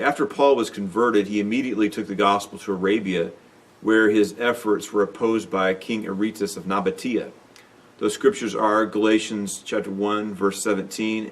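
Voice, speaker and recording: A man's voice: on the low side, recorded from a distance. A man teaching a Bible lesson in an unscripted lecture, rambling with lots of fillers and restarts.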